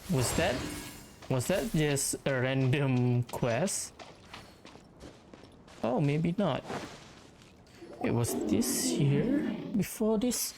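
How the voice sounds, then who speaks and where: A woman plays through a game with casual commentary. Her voice is very deep and unhurried, with laid-back muttered reactions, over clear game music. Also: very animated. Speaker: gaming channel host playing through a story quest. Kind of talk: casual let's-play commentary, reading dialogue aloud.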